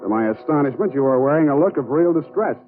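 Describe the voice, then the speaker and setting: An actor playing a wry, hard-boiled American private detective in 1940s radio drama, terse and deadpan. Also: male voice, a little high, quick pace, phone-quality sound, lightly acted. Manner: terse, deadpan, world-weary. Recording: band-limited old radio recording with music.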